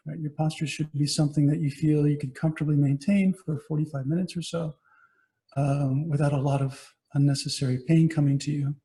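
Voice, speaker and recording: A man guiding a meditation as a teacher, giving gentle, unhurried instructions with pauses between phrases. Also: a little high, level tone, poor audio. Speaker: meditation teacher, Buddhist monastic. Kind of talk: guided body scan meditation.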